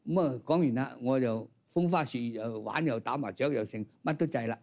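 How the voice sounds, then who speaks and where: A man's voice: medium pitch, a little fast, darkish tone. A man, a storyteller explaining his craft through anecdotes and rhetorical questions.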